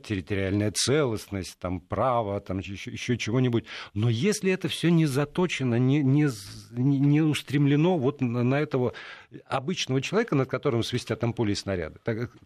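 A man's voice: medium pitch, a little mellow, no room sound. A Russian man co-hosting a political studio discussion, talking emphatically with many hesitations and restarts. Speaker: political talk show co-host.

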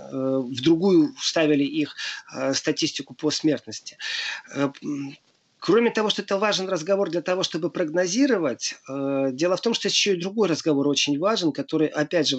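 A man speaking as a guest on a radio talk show, earnestly explaining his views and hesitating often with filler sounds. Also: fairly high pitch.

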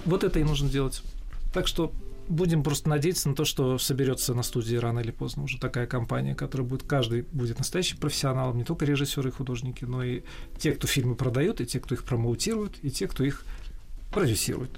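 A man's voice: medium pitch, fast, vocal fry, a little mellow. A man explaining things as an interview guest in an assured, businesslike, matter-of-fact manner. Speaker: interview guest, animation studio head.

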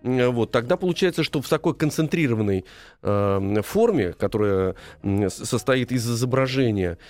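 A man hosting a conversational interview, speaking haltingly as he searches for his words. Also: mid-range voice, lively intonation, a little mellow, faint music behind. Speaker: talk show host.

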